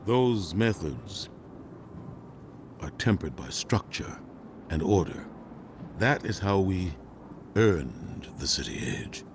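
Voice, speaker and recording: A grave, dutiful veteran commander from a video game. He speaks low and slowly, with solemn, deliberate authority. A faint ambient rumble sits behind him. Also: very animated, exaggerated voice. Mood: solemn, firm. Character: grave, dutiful older male military commander. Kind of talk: dramatic video game voice acting.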